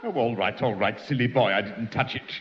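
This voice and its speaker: A man's voice: lowish voice, a little fast, a bit bright, natural voice. A man doing a prim, fussy church choirmaster. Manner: fussy, prim, repeats a pet catchphrase. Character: pompous middle-aged male church choirmaster. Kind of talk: 1960s radio sitcom acting. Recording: dull hissy old radio recording with reverb.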